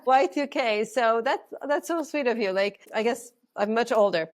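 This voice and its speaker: A woman's voice: fairly high pitch, moderate pace. A woman in her forties, a life coach telling her story as a guest in an earnest, flowing, thoughtful way. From Canada. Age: 40s. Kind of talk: reflective storytelling interview.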